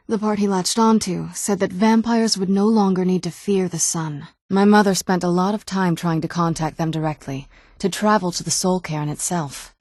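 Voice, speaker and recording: A centuries-old yet youthful vampire woman for a fantasy video game. Her voice sits fairly low and is recorded close and dry. She talks in a measured, conversational way, guarded and wistful, with wry understatement. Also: a little mellow, dry recording. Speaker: video game companion character. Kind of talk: video game dialogue acting.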